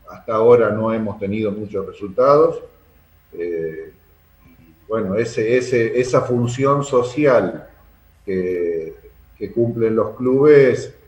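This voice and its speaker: Argentine male interviewee, club official, lower register, halting delivery, muffled roomy audio. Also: a little mellow. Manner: hesitant, searching for words. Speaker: sports club secretary being interviewed. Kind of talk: spontaneous interview answers. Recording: dull, echoey room sound.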